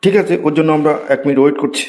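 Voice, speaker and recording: A man's voice: fairly high pitch, fairly even intonation, racing, darkish tone, natural voice. A man doing a fed-up cartoon schoolteacher. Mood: stern. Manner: scolding, exasperated outbursts. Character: exasperated male schoolteacher, middle-aged, short-tempered. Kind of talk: Bengali cartoon comedy voice acting.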